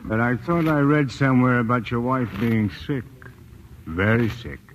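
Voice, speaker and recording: An actor playing a mock-polite, calmly threatening crime boss in a 1940s-style radio drama. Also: male voice, medium pitch, moderate pace, lightly acted, poor audio. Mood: sly, insinuating. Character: smooth, menacing male crime syndicate boss. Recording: old radio recording, faint noise.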